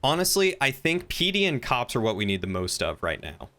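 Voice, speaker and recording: A man's voice: mid-range voice, big pitch swings, brisk, sharp tone. A man chatting casually as he streams game roleplay, rambling and thinking aloud. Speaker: livestreamer.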